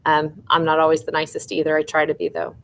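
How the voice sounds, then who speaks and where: A woman's voice: low-pitched, monotone, quick pace, fairly bright tone, a little hiss or hum. A woman teacher reading a novel aloud expressively, with informal asides to her students.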